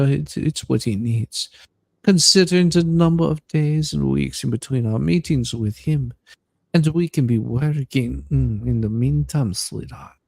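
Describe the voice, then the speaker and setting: A man doing a comedic dramatic reading as a pleading female prisoner, with drawn-out, suggestive, theatrical delivery. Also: medium pitch, vocal fry, darkish tone, dry recording. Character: breathy, pleading, suggestively moaning female prisoner writing to judge.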